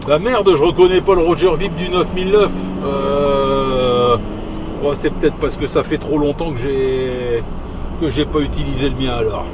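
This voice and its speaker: A man's voice: mid-range voice, fairly even intonation, moderate pace. A man chatting casually over radio as he drives, hesitant and rambling, often repeating himself. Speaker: amateur CB radio operator. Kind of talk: casual radio chat while driving. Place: inside a vehicle on the road. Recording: noisy moving vehicle, engine and road noise.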